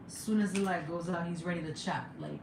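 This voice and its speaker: A woman's voice: quick pace, creaky. Chatty Jamaican woman in an echoey room. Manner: chatty, laughs often, bursts into excited high exclamations. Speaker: livestreamer and mother. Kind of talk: casual live chat. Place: home room. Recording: echoey room with background noise.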